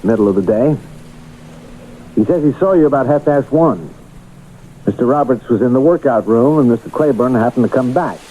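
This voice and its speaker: An actor voices a paternal yet suspicious male boss in an old radio drama. His voice is mid-pitched and warmly dark, with measured, probing authority. A faint noise sits on the recording. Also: lively intonation, lo-fi sound, lightly acted. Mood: accusing. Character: paternal but suspicious health club boss.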